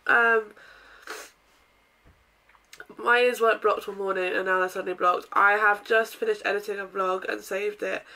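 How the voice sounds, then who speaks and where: A young woman vlogging casually from her bedroom, with a croaky voice from a sore throat, chatting in a rambling way with lots of ums and throat clearing. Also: medium pitch, steady tone, normal speed, long pauses, pushed and bright, poor audio. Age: young adult. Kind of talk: casual day-in-my-life vlog. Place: bedroom, indoors.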